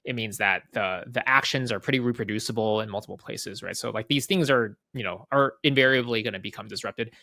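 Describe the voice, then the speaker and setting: A man speaking as an interview guest, explaining casually in halting phrases with repeated words and frequent checking tags. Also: on the low side, creaky, pushed and bright, dry recording, clean studio sound. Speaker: interview guest, head of product at a software company.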